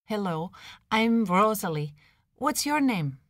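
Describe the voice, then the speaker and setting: A woman voicing a friendly young woman in a coursebook dialogue, speaking slowly and carefully. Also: medium pitch, very animated, no echo, studio quality, voice pushed up. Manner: slow, careful, clear.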